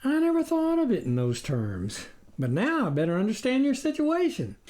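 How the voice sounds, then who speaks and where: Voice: mid-range voice, huge pitch swings, normal speed, a little mellow, pitched above the performer's own voice. A reader voicing a folksy, gullible male farmer in a storybook tale, musing in rustic country talk.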